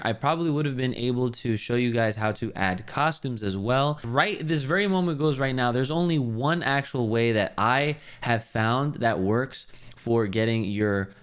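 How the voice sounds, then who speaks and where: A man's voice: medium pitch, clear, resonant tone. A man giving a casual screen-share gaming tutorial, explaining things step by step in an informal, rambling way.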